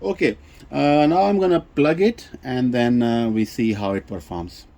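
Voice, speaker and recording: A man giving an unscripted technical demonstration as a presenter, speaking in a halting way and circling back over his phrases. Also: mid-range voice, vocal fry, dark tone. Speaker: vintage radio reviewer and presenter.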